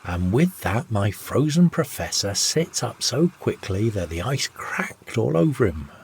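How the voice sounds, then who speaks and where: Male audiobook narrator for old seafaring tales, lowish voice, very varied pitch, old-fashioned raconteur delivery. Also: sing-song, measured pace, gravelly, darkish tone, slight background noise. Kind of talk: scripted storytelling, old sea yarn read aloud.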